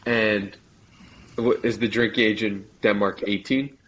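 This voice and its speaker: A man interviewing a guest on his podcast. His voice is fairly low. He keeps his turns short and asks curious follow-up questions. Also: little pitch movement, a little slow, fairly bright tone, low-quality recording. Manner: brief curious prompts and back-channel. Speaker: podcast host. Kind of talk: interviewer asking follow-ups.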